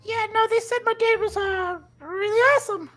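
Tiny schoolboy voice, super high, eager and sorry, kinda rambly, cartoon comedy, bit of music behind. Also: female voice, normal speed. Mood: flustered. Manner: childlike, pleading, rambling. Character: small, eager, apologetic schoolboy, the principal's son.

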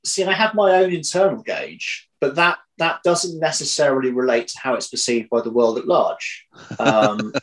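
A man of medium pitch speaks in a casual, thoughtful interview style. He often stops and rewords, working out his ideas aloud over a video call from home. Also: expressive, fairly bright tone, low-quality recording. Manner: halting, rephrases as he thinks aloud. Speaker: audio drama writer, interview guest. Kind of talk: casual reflective interview talk. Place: home room, indoors. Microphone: video call, computer at arm's length.

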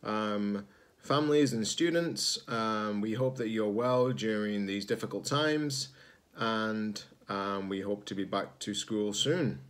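A man in his forties, a teacher-librarian reading a children's picture book aloud, expressive and slightly husky, pausing to ask listeners questions. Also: on the low side. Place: indoors, room at home with sloped ceiling.

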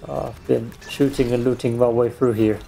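A man's voice: medium pitch, steady tone, moderate pace, creaky, warm tone, soft background music. A man, a German speaker, joking and self-deprecating as he comments on his gameplay. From Austria. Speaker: let's play host. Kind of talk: casual gaming commentary.

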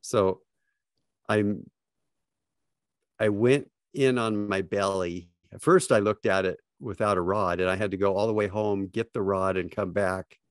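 A middle-aged man talks slowly and thoughtfully, pausing often as he recalls old days on the water. His voice sits a little low, and the sound is dry and close, picked up by earbuds on a video call from a small home office. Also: steady tone, dry recording. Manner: slow, reflective, pauses often. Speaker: podcast guest, fly fishing writer. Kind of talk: casual storytelling. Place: home office with bookshelves, indoors. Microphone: wired earbuds, video call.

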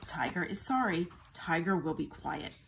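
A woman's voice: on the low side, expressive, moderate pace, creaky, background noise. A woman voicing a meek, childlike male tiger in a storybook, contrite and pleading. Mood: sheepish. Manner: contrite, pleading, speaks of himself in third person. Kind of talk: storybook character acting.

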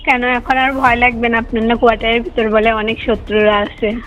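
Higher-voiced Bangladeshi woman on dull phone recording. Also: little pitch movement, a little fast. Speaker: hospital cleaner. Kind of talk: private phone conversation. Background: music.

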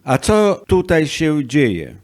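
A man's voice: fairly high pitch, wildly swooping pitch, slow pace, a little mellow, full character voice. A man playing a dramatic, sentimental sea god in an amateur radio play, with theatrical exclamations. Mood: theatrical, emotional.

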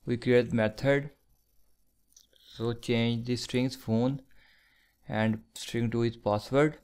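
A man teaching programming in a screencast, slowly dictating code step by step with fillers. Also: mid-range voice, steady tone, a little mellow, smooth. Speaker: programming tutor. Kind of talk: screencast coding tutorial.

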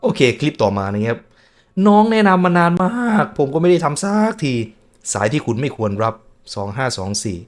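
A man's voice: a little high, very animated, a little fast, a little hiss or hum. A man hosting a reaction video who mutters casual asides and reads on-screen text aloud as he watches.

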